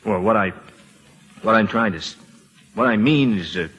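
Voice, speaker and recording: Gruff, husky, low-voiced tough guy. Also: a bit bright. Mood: awkward. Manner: gruff, terse, halting. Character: gruff, guarded tough war veteran, awkward with feelings. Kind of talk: old-time radio drama acting. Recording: reverberant old radio broadcast.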